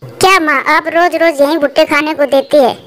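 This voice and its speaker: Woman voicing a high-pitched, eager little bird child. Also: fairly even intonation, moderate pace, fairly bright tone. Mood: pouting. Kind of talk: Hindi cartoon moral story acting.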